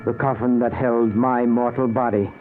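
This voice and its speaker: An intense, haunted dead man played by a male actor tells and lives his afterlife in an old radio play. His medium-pitched voice is theatrical and pleading, heard through a thin, noisy vintage broadcast. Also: little pitch movement, moderate pace, lightly acted. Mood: grave, stunned narration. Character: newly dead man, anguished, disbelieving, narrating his afterlife. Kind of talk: old-time radio drama acting and narration. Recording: noisy, narrow-band old radio recording.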